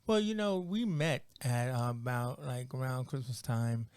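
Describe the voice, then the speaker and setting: An American man hosts a relaxed one-to-one chat, wandering through his questions with plenty of fillers and quick jokes. His voice sits at an ordinary pitch and is recorded dry and close, with no room sound around it. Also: clear, resonant tone, no echo. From United States. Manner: rambling and jokey, heavy on "like" and "you know". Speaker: podcast host. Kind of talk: casual interview chat.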